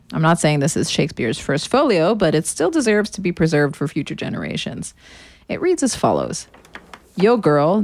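A woman's voice: fairly low pitch, darkish tone, no echo. An American woman in her thirties playing a sardonic woman narrating a confessional audio diary, dryly sarcastic and casually profane. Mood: dry, nostalgic. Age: 30s.

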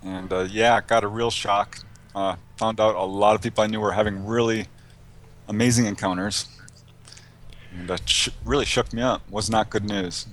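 Casual, halting man answering as a guest. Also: fairly low pitch, steady tone, a bit bright, a little hiss or hum. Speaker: interview guest, UFO investigator. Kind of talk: casual conversational interview answers.

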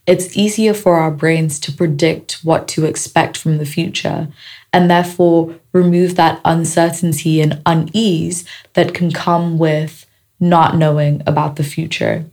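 Raspy-voiced woman hosting a podcast. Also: lowish voice, a little mellow. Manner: chatty and reflective, with small jokes and laughs. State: raspy, dry voice after a week of celebrating. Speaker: podcast host. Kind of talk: casual solo podcast talk. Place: seated indoors at a microphone.

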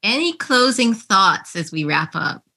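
Husky-voiced, upbeat woman podcast host. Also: medium pitch, very animated, measured pace, pushed and bright. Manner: upbeat, laughs easily. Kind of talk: casual interview, show outro.

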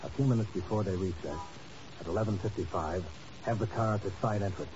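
An actor playing a cool, hard-boiled secret agent in a 1950s radio drama, clipped, wry and commanding. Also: male voice, fairly low pitch, fast, close to an everyday voice. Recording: noisy, muffled old radio recording.

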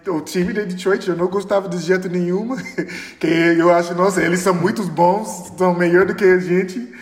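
Echoey American man, halting non-native Portuguese. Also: high-pitched. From Chicago, United States. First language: English. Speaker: podcast guest. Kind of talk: casual recollection in interview. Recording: echoey, reverberant room.

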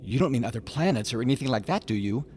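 An actor in a radio drama playing an eager, polite would-be impresario with an earnest, hesitant, deferential manner. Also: male voice, mid-range voice, expressive, fast, darkish tone, slight background noise, close to an everyday voice. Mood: astonished.

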